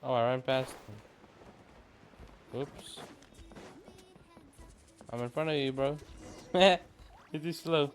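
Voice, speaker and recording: Slow, casual male gaming streamer. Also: mid-range voice, soft background music. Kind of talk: casual reactions to gameplay.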